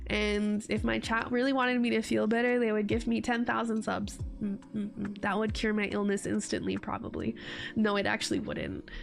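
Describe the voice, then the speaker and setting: A woman's voice: mid-range voice, little pitch movement, normal speed, gravelly, faint music behind. A young woman streaming casual chat while getting over a cold, her voice stuffy and congested, rambling and laughing often. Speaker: VTuber streamer.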